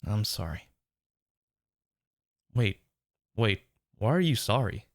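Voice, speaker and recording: A man's voice: on the low side, big pitch swings, slow, no echo. Man acting a smitten, teasing rival, close and dry. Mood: earnest, incredulous. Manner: teasing, breathy, tender, murmuring. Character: smitten young man, teasing workplace rival turned lover. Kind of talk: intimate romantic audio roleplay.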